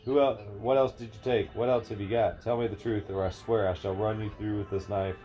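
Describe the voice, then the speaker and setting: A man's voice: on the low side, steady tone, fairly quick, warm tone, faint music behind, natural voice, poor audio. A man voicing a cruel, enraged female villain in a storybook, making harsh, threatening demands.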